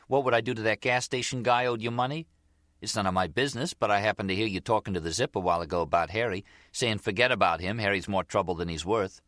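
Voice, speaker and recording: A man's voice: medium pitch, fairly bright tone, no echo, clean studio sound, pitched above the performer's own voice, lightly acted. A man voicing an eager, resentful young mob underling in an audiobook, whiny and insistent, with street-tough grammar. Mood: scheming.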